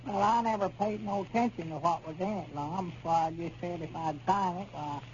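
An actor playing a naive, plaintive old country storekeeper with a rural Arkansas Southern accent in a 1930s radio comedy. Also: male voice, high-pitched, moderate pace, close to an everyday voice. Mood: defensive. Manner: folksy, plaintive, simple-minded. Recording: dull, noisy 1930s radio recording.

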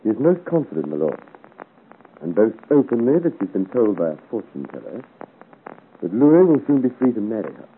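A deep-voiced, deferential nobleman's henchman. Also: very animated, fairly quick, phone-quality sound. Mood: deferential, informative. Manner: deferential, smooth-worded, formal. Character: obsequious, efficient male henchman to a nobleman. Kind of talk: 1950s radio serial acting. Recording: thin, noisy old radio recording.

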